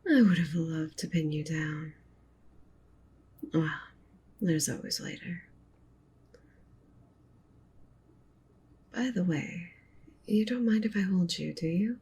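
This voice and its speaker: Woman voicing a low, whispery possessive wolf girlfriend. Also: unhurried, long pauses, full character voice. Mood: affectionate, teasing. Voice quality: soft, breathy whisper mixed with low murmur. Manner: coaxing, pet names, softly commanding. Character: possessive, doting yandere wolf witch girlfriend, dominant. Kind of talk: ASMR roleplay, whispery intimate acting.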